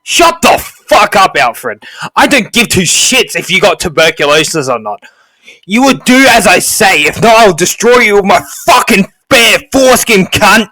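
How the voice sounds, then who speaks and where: A man's voice: medium pitch, moderate pace, sharp tone, dry recording, lightly acted, poor audio. A man playing a crude, domineering, aggressive army sergeant in a wasteland game cutscene. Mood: furious, bullying. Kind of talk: scripted game cutscene dialogue.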